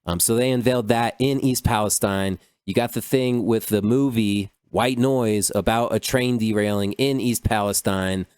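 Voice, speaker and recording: A man's voice: on the low side, fairly even intonation, normal speed, few pauses, darkish tone, clear, resonant tone, no room sound, clean studio sound. A man hosting a podcast by himself, riffing on news stories in a loose, profane, rambling way, full of "dude" and "like". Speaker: podcast host. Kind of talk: casual solo commentary on news.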